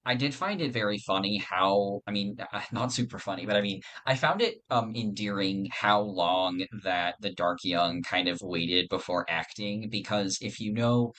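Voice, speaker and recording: Guy with a lowish voice chatting on a podcast, explains lore in earnest detail. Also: moderate pace, hardly any pauses, a bit bright. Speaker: podcast panelist. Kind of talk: casual podcast discussion.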